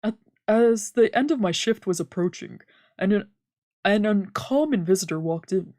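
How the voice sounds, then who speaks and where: A woman's voice: mid-range voice, lively intonation, normal speed, warm tone, no room sound, studio quality. A woman streamer narrating a visual novel aloud in a let's play, reading dramatically and sometimes stumbling over words.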